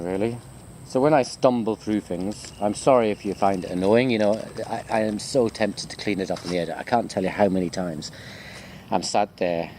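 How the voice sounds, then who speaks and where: A man with a low-pitched voice talks like a lecturer chatting to his students on a walk. He rambles along in a conversational, self-deprecating way. Faint insect and cricket noise sits behind him, as in an outdoor recording. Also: a little mellow. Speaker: university lecturer. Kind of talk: informal walking video chat to students.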